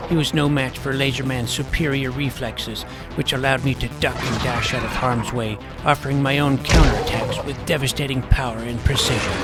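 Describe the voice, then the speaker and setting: A man playing a deadpan self-important nerd hero. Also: medium pitch, lightly acted. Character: nerdy middle-school boy narrator posing as a superhero. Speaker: narrator. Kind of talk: scripted audio drama narration.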